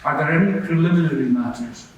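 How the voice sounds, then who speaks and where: A man's voice: fairly high pitch, fast, muffled recording, natural voice. Man playing a formal judge, very echoey. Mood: official. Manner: official, procedural, instructing. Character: formal, brisk, authoritative male judge on the bench. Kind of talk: formal courtroom procedure. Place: large echoing courtroom. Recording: very echoey hall, distant, noisy.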